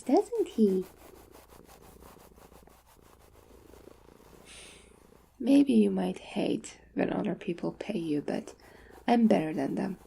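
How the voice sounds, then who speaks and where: Girl doing a possessive asmr owner cooing at her pet, super soft dark tone, really creaky, whispery, bit of background noise. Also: fairly high pitch, full character voice, low-quality recording. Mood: teasing. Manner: slow, teasing, cooing, condescending, often whispering. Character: possessive, coaxing female owner doting on a captive pet. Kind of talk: intimate ASMR roleplay, often dropping to whispers.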